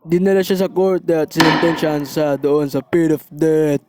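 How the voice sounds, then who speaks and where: A Filipino-accented man voicing a chatty, excitable villager reporter giving a cartoon mock news report. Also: fairly high pitch, normal speed, background noise, close to an everyday voice.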